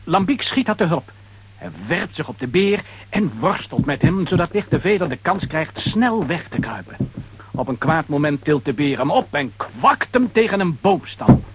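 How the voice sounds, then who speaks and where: A man narrating a children's radio play as a dramatic, animated storyteller. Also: on the high side, normal speed, noisy background.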